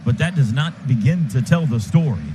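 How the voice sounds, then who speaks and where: A man doing excited radio play-by-play of a football game in clipped, rapid-fire calls. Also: mid-range voice. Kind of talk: live football commentary. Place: stadium radio booth. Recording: noisy stadium broadcast booth.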